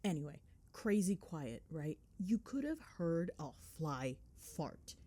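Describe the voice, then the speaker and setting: A cheeky, affectionate girlfriend acted out by a woman chats in a casual ASMR roleplay. Her voice sits on the low side for a woman and swings widely in pitch. She tells gossip with dramatic flair and sarcasm. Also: big pitch swings, slow, dark tone, exaggerated voice. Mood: gleeful, dramatic. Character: chatty, cheeky, affectionate girlfriend gossiping while driving home. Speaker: voice actor.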